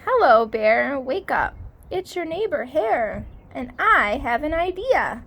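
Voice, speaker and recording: A woman's voice: high-pitched, very animated, takes it slowly, a bit bright, pitched above the performer's own voice, heavily acted. A woman reading the part of a clever male hare, a storybook trickster with upbeat, persuasive patter. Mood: eager, persuasive.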